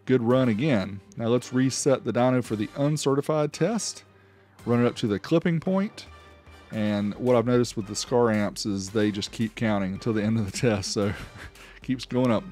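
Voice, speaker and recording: A man's voice: medium pitch, darkish tone. A man hosting a gear review, narrating tests casually in a matter-of-fact, explanatory way and reading out figures. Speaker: host of an audio gear review channel. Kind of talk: casual product test narration.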